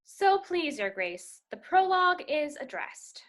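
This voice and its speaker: A woman's voice: high voice, big pitch swings, unhurried, a bit bright, exaggerated voice. A woman playing a deferential but disdainful court master of revels, reading Shakespearean verse at an amateur table read over video call. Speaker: amateur reader in a play.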